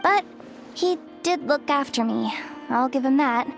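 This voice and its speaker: A high, slow-paced young woman in a video game, with melody that moves a lot. She is pragmatic and dismissive on top with a soft heart beneath, and music plays behind her. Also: lively intonation, gravelly, heavily acted. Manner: casual, wry, dismissive. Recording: studio voice over game music. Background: background music.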